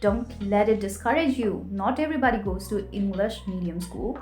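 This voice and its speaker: A woman's voice: medium pitch, lively intonation, normal speed, darkish tone. Young woman giving emphatic tips. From Nepal. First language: Nepali. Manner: emphatic, tip-by-tip delivery. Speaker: vlogger. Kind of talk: scripted advice vlog. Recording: echoey room with faint music.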